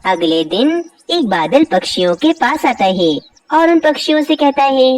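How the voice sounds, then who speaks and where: Lively high-voiced woman storyteller. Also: moderate pace, dry recording, recorded from a distance. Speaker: narrator. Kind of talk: scripted children's story narration.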